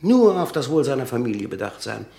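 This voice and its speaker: An actor playing a fussy, garrulous provincial pharmacist in a German radio drama, rambling sentimentally and repeating himself. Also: male voice, medium pitch, big pitch swings, a little fast, creaky, dark tone. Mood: nostalgic lament.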